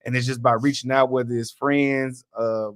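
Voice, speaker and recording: A man co-hosting a sports podcast, talking in a relaxed, chatty way and laughing easily. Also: medium pitch, normal speed, no echo. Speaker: podcast co-host. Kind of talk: casual podcast chat.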